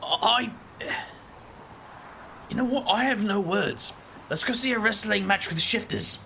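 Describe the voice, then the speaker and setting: A man playing a casual, loyal ally of supernatural outcasts in an audio drama, conversational and a little hesitant, with a creaky voice. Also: high voice, measured pace, pushed and bright, slight background noise, full character voice. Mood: bemused.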